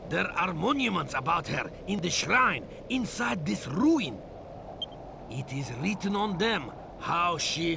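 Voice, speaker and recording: An actor playing an earnest, solemn man in a video game cutscene, with halting, emphatic delivery. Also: a little high, creaky, noisy background, full character voice.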